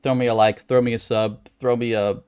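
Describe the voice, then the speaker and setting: A man giving casual writing advice as a channel host, talking in a rambling, folksy way with animated asides. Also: mid-range voice, a little fast, clean tone. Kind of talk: casual advice vlog talk.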